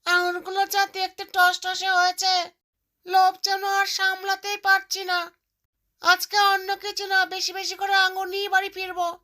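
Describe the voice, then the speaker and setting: A woman's voice: extremely high pitch, monotone, measured pace, pushed and bright, full character voice. A woman voicing a little cartoon bird with a childlike, chattering manner. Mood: gleeful. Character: greedy, stingy little mother bird and her chirpy chicks. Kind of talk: cartoon character voice.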